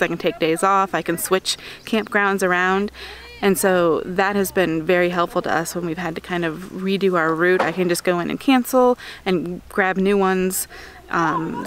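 A woman in her thirties talks easily to a handheld camera outdoors, her voice a little low for a woman. She chats along with small fillers and the odd laugh. Faint outdoor noise sits behind her. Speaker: RV travel vlogger. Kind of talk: casual explanation to camera. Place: outdoors by a pond in a campground. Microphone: camera held at arm's length.

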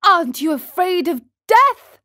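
A woman voicing a patient, motherly fairy in a storybook, coaxing in a firm and gentle manner. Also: extremely high pitch, sing-song, measured pace, voice pushed up, exaggerated voice. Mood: grave warning.

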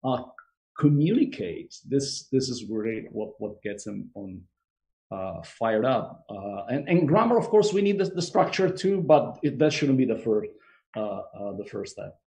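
A man's voice: medium pitch, big pitch swings, a little slow, creaky, a little mellow. A man speaking as a guest on a video call interview, hesitant with many fillers. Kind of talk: conversational interview.

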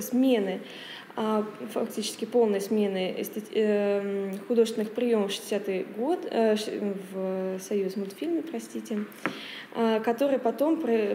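A woman's voice: medium pitch, mellow. Hesitant young woman presenting in an echoey room. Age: 20s. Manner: hesitant, halting delivery. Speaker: speaker presenting a paper. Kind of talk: academic conference presentation. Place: wood-panelled library meeting room, indoors. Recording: echoey room with long reverb, faint noise.

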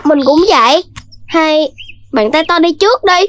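Very high, cheeky cartoon animal kid. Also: female voice, animated, measured pace. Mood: carefree. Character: cheeky, playful young cartoon animal child. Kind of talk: children's cartoon voice acting.

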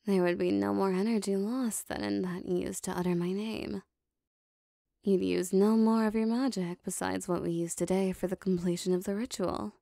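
Woman acting a possessive, creaky-voiced demon woman. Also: mid-range voice, steady tone, dark tone, dry recording, studio quality, close to an everyday voice. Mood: possessive, insistent. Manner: formal, deliberate, intimate phrasing. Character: powerful, possessive female demon devoted to her master. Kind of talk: ASMR roleplay monologue.

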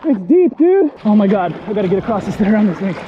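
A man's voice: sits high, fairly even intonation, racing, muffled recording. A man giving casual, slangy fishing commentary as an enthusiastic vlog host, often repeating short phrases. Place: outdoors on a rocky ocean beach, wading. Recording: noisy outdoor action-camera audio with surf. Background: waves and surf.

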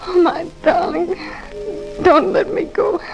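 A tender, wilful young wife on her deathbed in an old radio melodrama. Her delivery is very slow, faint and breathy, sinking toward a whisper. Music plays clearly behind the voice. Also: extremely high pitch, steady tone, dull audio, exaggerated voice. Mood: yearning, dying. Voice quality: breathy, often near whisper. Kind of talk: 1940s radio drama acting.

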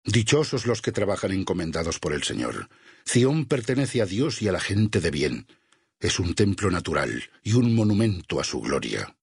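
Game role: devout burned warrior-missionary, Castilian accent, very husky and creaky, grave sermon-like delivery with smoldering zeal. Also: male voice, low-pitched, big pitch swings, no echo, full character voice. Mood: stern zeal. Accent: Castilian Spanish. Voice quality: raspy, gravelly. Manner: grave, measured, preacherly. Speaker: video game voice actor. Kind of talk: dramatic game dialogue.

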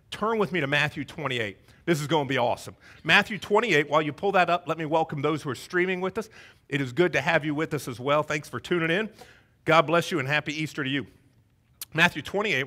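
A man in his thirties with a Southern American accent, preaching an energetic, joking Easter sermon on a church stage. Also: on the high side. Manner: energetic, joking, paces the stage with wide gestures. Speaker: pastor. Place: church stage before a congregation.

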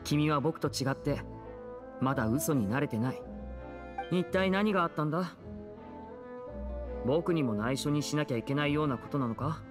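A man playing a composed teenage older brother in an anime-style game, measured, serious and questioning, with music behind. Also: a little high, natural voice. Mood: probing, concerned. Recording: studio voice over game music. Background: background music.

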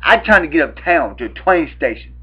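Young southern guy doing a prank call, rambles n stammers, highish voice, lo-fi mic. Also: big pitch swings, brisk, breathy edge. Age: young adult. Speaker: prank caller. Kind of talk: improvised prank phone call. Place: small room at home, indoors. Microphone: webcam at arm's length. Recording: dull, lo-fi webcam audio.

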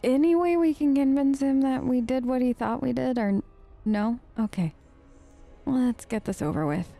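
A woman hosting a casual role-play game video, expressive and chatty as she talks to the characters. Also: high voice, normal speed, creaky, faint music behind. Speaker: gaming video host. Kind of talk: in-character playthrough commentary, casual.

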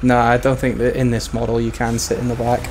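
A man's voice: mid-range voice, completely flat delivery, a little fast, a little mellow, slight background noise. A man joking and laughing in casual voice chat while playing a roleplay game. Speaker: roleplay gamer.

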